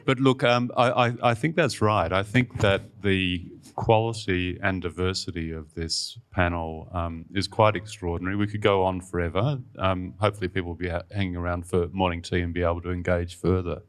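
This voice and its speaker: A man chairs a panel discussion, handing the floor to the speakers and wrapping up. His voice is very deep, and he is genial, tripping over repeated words as he talks. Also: fairly even intonation, normal speed, few pauses. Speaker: panel moderator.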